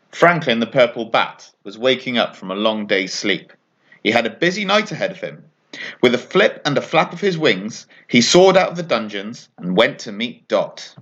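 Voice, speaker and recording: A man reading a children's bedtime story aloud as an expressive narrator. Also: mid-range voice, dull audio.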